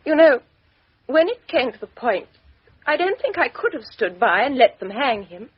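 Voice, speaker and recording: Proud english young lady, bitter n emotional, bit husky, muffled old radio drama sound. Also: high voice, sing-song, moderate pace, dry recording, full character voice. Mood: relieved, rueful. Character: proud, defiant, bitter young English woman avenging her friend. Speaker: actress playing a suspect. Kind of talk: radio mystery drama acting. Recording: dull, dry lo-fi radio recording.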